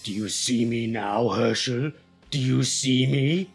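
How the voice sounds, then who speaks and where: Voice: medium pitch, takes it slowly, husky, faint music behind, voice pushed down. A storyteller voicing a boastful, menacing goblin king with grandiose, theatrical proclamations. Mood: demanding.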